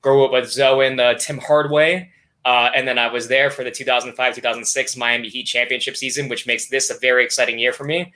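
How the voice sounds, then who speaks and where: A man's voice: medium pitch, level tone, fast, pushed and bright. A young man from South Florida, a guest streamer talking casually and upbeat with lots of fillers. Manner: easygoing, filler-heavy, upbeat. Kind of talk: casual live stream chat.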